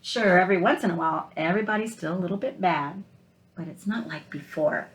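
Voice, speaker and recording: A kindly male egg tells his own storybook tale, read aloud by a woman. The delivery is earnest and expressive for children, with emphatic, deliberate phrasing in a lowish female voice. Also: lightly acted, poor audio. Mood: content.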